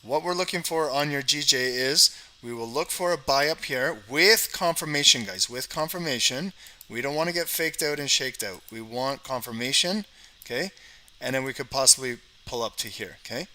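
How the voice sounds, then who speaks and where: A man's voice: medium pitch, moderate pace, bright, forceful tone, rough recording. A man from Canada hosting a live trading stream, chatting casually, talking to his viewers in the chat and tagging lines with "okay" and "guys.". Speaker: trading livestream host.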